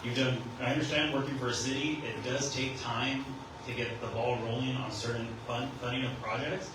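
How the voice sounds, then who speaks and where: Loud-voiced man questioning from the floor. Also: mid-range voice, steady tone, normal speed. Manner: direct and pointed, says he has a loud voice. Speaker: resident asking a question. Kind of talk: audience question at a public forum. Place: public meeting hall. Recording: echoey hall, distant mic, noisy.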